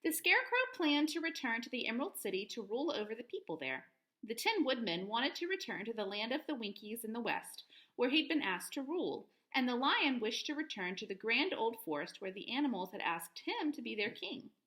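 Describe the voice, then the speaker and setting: A woman reading a children's story aloud in an expressive, clearly phrased teacher's manner. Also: fairly high pitch, a little fast.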